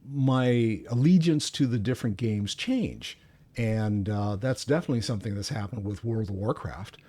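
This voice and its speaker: A man's voice: fairly low pitch, moderate pace, mellow. An older man chatting casually about gaming as he hosts a stream. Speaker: game streamer, host.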